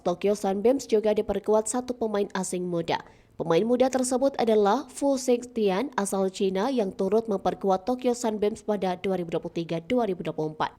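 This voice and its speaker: A woman narrates a sports news piece from a script, with a mellow, warm tone. Her delivery is even and read-aloud, easing down at the close of each sentence. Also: mid-range voice.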